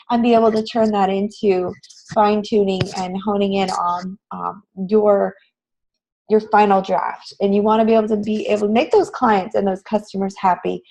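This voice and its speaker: Hoarse, raspy woman teaching online. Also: on the high side, dark tone, poor audio. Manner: encouraging, addresses students with frequent questions. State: hoarse voice recovering from a cold. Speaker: online graphic design instructor. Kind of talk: live online lecture to students, conversational. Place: online class session, screen shared.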